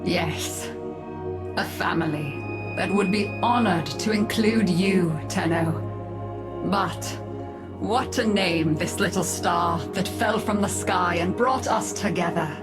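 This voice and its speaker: A woman playing a proud, formal matriarch in a video game drama, speaking in a measured, stately way. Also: fairly low pitch, over loud music. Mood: gracious, solemn. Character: proud, formal, grieving matriarch of an estranged family.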